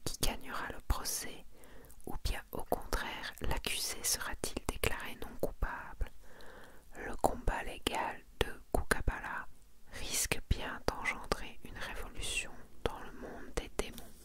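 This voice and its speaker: A woman whispering in a breathy, intimate ASMR style, casually presenting her collection to one listener. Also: a little slow. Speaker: ASMR video creator presenting a manga collection.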